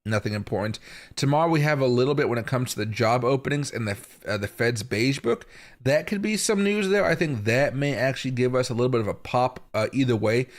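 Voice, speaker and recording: A man hosting a live market stream, his voice hoarse from a chest infection. He talks casually and without hurry, pausing often and filling gaps with ums and uhs, at a mid pitch typical for a man. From Seattle area, US. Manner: casual, unhurried, with pauses and frequent fillers. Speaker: crypto market livestream host.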